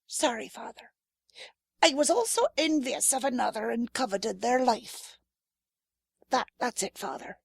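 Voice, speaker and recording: A woman's voice: fairly high pitch, expressive, unhurried, creaky, long pauses, breathy edge, dry recording, studio quality, full character voice. An actress playing a fussy, indignant older mother at confession in an audio drama. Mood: contrite. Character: gossipy, envious older churchgoing mother at confession.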